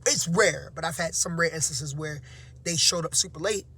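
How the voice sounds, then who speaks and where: A man who teaches, giving casual motivational advice to his viewers, slightly hoarse and half-awake, with frequent fillers and tags like "right?". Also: on the high side, moderate pace, sharp tone. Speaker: teacher and vlog host. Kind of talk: casual motivational talk to camera.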